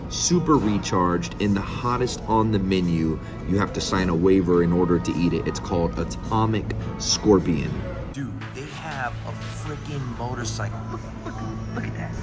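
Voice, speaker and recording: A man from Florida hosting a casual vlog, excitable and slangy, calling everyone bro. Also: lowish voice. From Florida, USA. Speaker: vlogger host.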